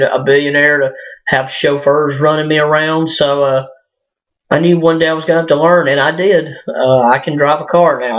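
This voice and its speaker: A hoarse man still recovering from a sinus illness, talking through a casual personal vlog with lots of fillers and restarts. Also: on the high side. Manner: halting, rambling, many fillers and restarts. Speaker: vlogger. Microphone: built-in device mic, no external microphone. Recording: dull lo-fi built-in mic.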